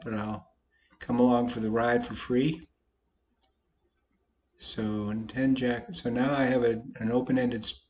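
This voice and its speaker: Folksy, slightly hoarse man narrating poker hands. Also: mid-range voice, a little mellow. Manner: folksy, self-deprecating, talks through each hand. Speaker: poker vlogger. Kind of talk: casual commentary over online poker play.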